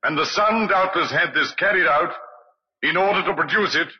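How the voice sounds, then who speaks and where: A man's voice: fairly high pitch, normal speed, husky. A man acting a police superintendent in a radio drama, giving a formal, precise official account. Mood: matter-of-fact. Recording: dull old radio recording.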